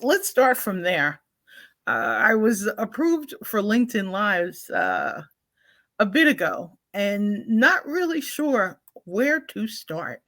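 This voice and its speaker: A woman's voice: medium pitch, a bit bright. A woman hosting a live online talk show, greeting viewers and introducing her guest with effusive enthusiasm. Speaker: host.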